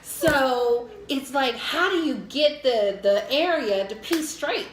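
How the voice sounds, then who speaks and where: A middle-aged American woman telling a casual, humorous personal story in a candid, animated way. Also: high-pitched, slow pace. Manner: animated, candid, acts out her own thoughts. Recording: echoey room with faint noise.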